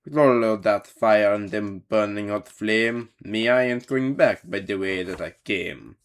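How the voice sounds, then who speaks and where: A man's voice: lowish voice, a little slow, smooth. A man voicing a jolly, fat old Saint Nick who hollers commands in a storybook verse. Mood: loud, emphatic.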